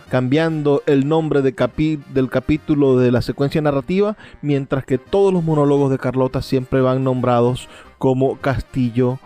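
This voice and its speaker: A man presenting a literary programme, giving commentary in a halting way as he searches for words. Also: medium pitch, warm tone. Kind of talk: spoken literary commentary and introduction.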